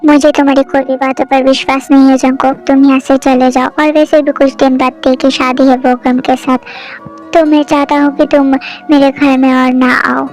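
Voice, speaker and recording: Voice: high-pitched, flat delivery, moderate pace, darkish tone, soft background music, pitched below the performer's own voice. A young performer voicing a stubborn middle-aged father who scolds and dismisses. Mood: stern.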